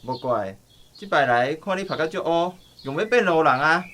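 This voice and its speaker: An actor playing a genteel silent-film narrator visiting an old army friend in a radio drama, jovial, with a Taiwanese accent. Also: male voice, on the high side, lively intonation, moderate pace, pushed and bright. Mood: teasing, familiar.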